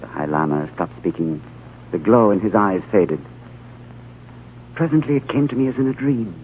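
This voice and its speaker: A tinny old radio broadcast with music carries an actor as an earnest young male hero. His voice is low and swings expressively as he speaks and narrates with reverent, thoughtful drama. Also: expressive, normal speed, slight background noise, natural voice. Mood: reflective, hushed. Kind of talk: 1940s radio drama acting and first-person narration.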